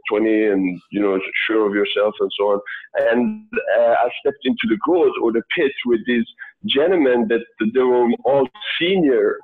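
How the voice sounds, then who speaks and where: A man in his thirties who grew up in Sweden, talking casually as a guest, halting with many fillers and restarts. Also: medium pitch. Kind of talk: casual interview talk. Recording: tinny phone-like line.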